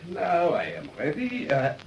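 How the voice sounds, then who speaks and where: An actor playing a pragmatic immigrant shopkeeper husband, deliberate and matter-of-fact in a radio drama. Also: male voice, on the high side, huge pitch swings, vocal fry, full character voice. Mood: musing. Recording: dull radio drama recording, faint noise.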